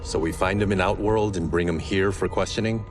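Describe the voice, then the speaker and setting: A man's voice: sits low, fairly even intonation, fairly quick, music in the background, natural voice. A man playing a stern, proud swordsman with a criminal past in a video game, serious and earnest. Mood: businesslike. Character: stern, proud swordsman who left organised crime. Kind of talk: cinematic video game drama acting.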